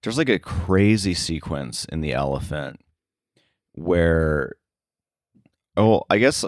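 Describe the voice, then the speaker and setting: Low-voiced man, halting casual talk. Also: expressive, no echo, studio quality. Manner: halting, filler-heavy, circling back to rephrase. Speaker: interview guest, sound effects editor.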